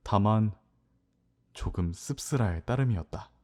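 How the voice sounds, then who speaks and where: A man's voice: fairly low pitch, lively intonation, unhurried, darkish tone. A man playing a young farmer's son, narrating his own fantasy story in a reflective, literary way in an audio drama. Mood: wistful, reflective.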